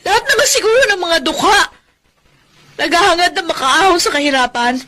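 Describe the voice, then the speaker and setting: High-voiced, exasperated Filipina sweetheart. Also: female voice, animated, a little fast, bright, forceful tone, heavily acted. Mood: hurt, indignant. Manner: reproachful, earnest. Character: exasperated, torn young sweetheart caught between two men. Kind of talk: Filipino radio drama acting.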